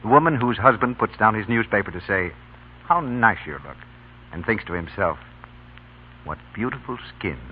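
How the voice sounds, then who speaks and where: Casting: male old-time radio announcer, very animated sales delivery, tinny narrow broadcast sound. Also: on the low side, normal speed, long pauses, slight background noise. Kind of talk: scripted 1930s-40s radio commercial announcing.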